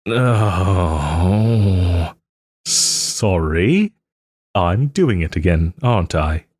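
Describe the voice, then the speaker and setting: A man playing a smug but soft-hearted nobleman and former lover in an audio roleplay, suave and refined, with gentle teasing and hesitant pauses. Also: deep voice, very animated, husky, no room sound, clean studio sound, exaggerated voice. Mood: apologetic, earnest. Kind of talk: audio roleplay, dramatic monologue to listener.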